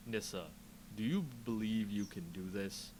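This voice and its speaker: A man's voice: lowish voice, a little slow, a little mellow, a little hiss or hum, voice pushed down, lightly acted. A man voicing an earnest warrior leader in a story reading, firm and commanding. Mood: concerned, firm. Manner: firm, urgent.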